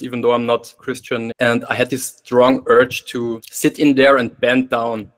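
A man in his thirties with an Austrian German accent speaks in English, his second language. He asks his question hesitantly, restarting phrases. The sound comes over a video-call webcam. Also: mid-range voice. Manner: hesitant, with small laughs and restarts. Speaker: student asking a question.

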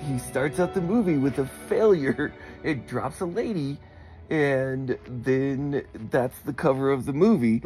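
A man rambles excitedly through a phone voicemail, drawling slowly with a mellow, dark tone. He laughs between phrases. Faint music plays behind him. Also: medium pitch, breathy edge. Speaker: caller leaving a voicemail. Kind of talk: rambling voicemail about movies.

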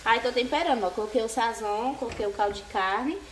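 A woman's voice: fairly high pitch, fairly quick. A young Brazilian woman chatting casually to viewers as she cooks in a small home kitchen, often checking in with a "né, gente?". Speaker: cooking vlogger. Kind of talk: casual cooking chat to camera. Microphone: camera on the counter, partly hand-held over the stove. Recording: echoey tiled kitchen, faint background noise.

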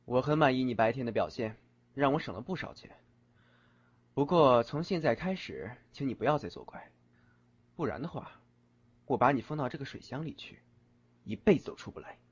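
A man's voice: mid-range voice, animated, fairly quick, pauses often, husky. A man playing a curt, cool young male student who sees ghosts, in an audio drama. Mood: cold, stern. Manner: curt, cool, threatening when annoyed. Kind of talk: Chinese audio drama acting. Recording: dull lo-fi audio, background music.

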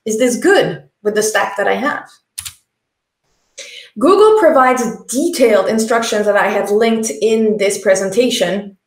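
A young woman with a Quebec French accent, giving a conference talk on a headset mic with earnest, explanatory, sometimes slow and emphatic delivery. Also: on the high side, roomy sound. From Quebec, Canada. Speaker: conference speaker. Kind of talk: presentation talk.

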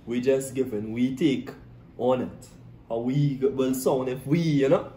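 A man's voice: mid-range voice, fairly even intonation, measured pace, warm tone. A young Trinidadian man co-hosting a vlog, chatting playfully and laughing often. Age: young adult. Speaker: vlog co-host. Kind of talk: casual joking chat. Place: small indoor room with dark backdrop. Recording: roomy echo, faint noise.

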